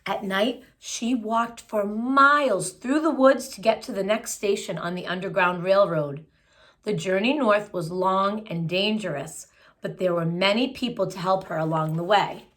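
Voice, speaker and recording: A woman's voice: medium pitch, a little slow. Woman reading a children's book aloud. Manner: simple explanatory asides, expressive phrasing for children. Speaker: children's story reader. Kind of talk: read-aloud of a children's picture book with short asides to young listeners.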